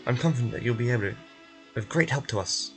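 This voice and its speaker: A man's voice: mid-range voice, animated, quick pace, a bit bright, faint music behind, pitched below the performer's own voice. A man voicing a confident, welcoming male institute director in a video game, hearty and emphatic. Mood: delighted.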